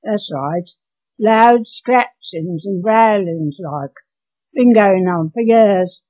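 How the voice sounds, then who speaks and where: A woman's voice: mid-range voice, takes it slowly, dull audio. An elderly woman voicing a laconic, evasive country gardener with dialect phrasing in a read-aloud story. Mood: matter-of-fact. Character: slow rustic old male occasional gardener, evasive, laconic.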